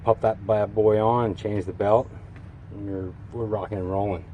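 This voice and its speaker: A man's voice: fairly low pitch, steady tone, normal speed, phone-quality sound, slight background noise. A man giving a casual, unscripted walkaround of his vehicle in a folksy, colloquial way with hobbyist slang. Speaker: vlogger showing his vehicle build.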